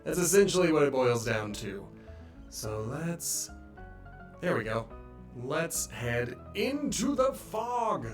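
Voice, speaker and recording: A man's voice: on the high side, very animated, slow, faint music behind. A slightly sniffly man hosting a livestream, chatting casually and rambling in a self-deprecating way. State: slightly sniffly, congested from allergies. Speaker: live streamer and host of a game playthrough.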